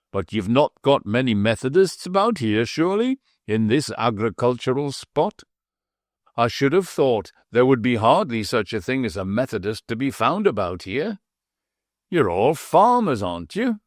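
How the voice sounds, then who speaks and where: A man voicing a genteel traveller on horseback who asks easy, gentlemanly questions. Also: medium pitch, very animated, normal speed, no echo, clean studio sound, natural voice. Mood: curious. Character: genteel male traveller on horseback, relaxed and curious.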